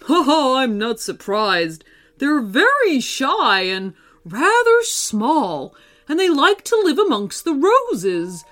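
A kindly old wizard from a children's storybook, acted out by a woman, speaks slowly and theatrically. The voice swoops up and down, drawing words out over faint music. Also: sits high, big pitch swings, fairly bright tone, heavily acted. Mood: delighted, chuckling. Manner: theatrical, exclamatory, drawn-out words. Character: kindly old male wizard, eager and stern by turns.

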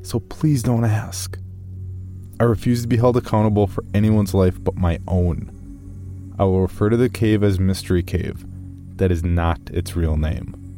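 Deep-voiced, earnest amateur caver played by a man. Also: dark tone, faint music behind, close to an everyday voice. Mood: matter-of-fact, guarded. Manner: measured, matter-of-fact. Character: earnest, guarded amateur caver recounting his journal. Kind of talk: first-person scripted horror narration.

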